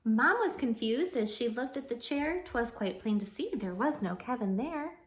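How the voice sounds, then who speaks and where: A woman with a high voice narrates a children's story in rhyme. She reads expressively, like a teacher addressing young listeners. The recording has a clear room echo. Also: expressive, moderate pace. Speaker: teacher reading aloud to children. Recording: echoey, roomy sound.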